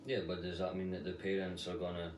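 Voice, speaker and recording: A man's voice: low voice, level tone, quick pace, roomy sound, distant mic, a little hiss or hum. A man co-hosting a casual chat, giving short, blunt opinions. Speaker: podcast co-host.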